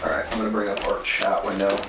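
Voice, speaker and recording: A man hosting a paintball live stream, talking casually and haltingly as he runs the setup. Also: on the low side, monotone. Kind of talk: casual chat while running a stream. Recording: loud noise in an echoey room.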